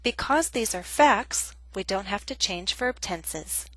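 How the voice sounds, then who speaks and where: A woman gives a scripted grammar lesson, speaking in a clear and measured way. Her voice sits at an ordinary middle pitch, recorded close and dry with no room sound. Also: lively intonation, fairly bright tone, no room sound. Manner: clear, measured teaching delivery. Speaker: English teacher.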